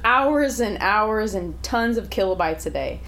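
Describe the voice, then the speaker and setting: A woman's voice: mid-range voice, animated, a little slow, fairly bright tone. A woman guest in a studio talk show, answering casually about her media company. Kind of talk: casual interview. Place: recording studio.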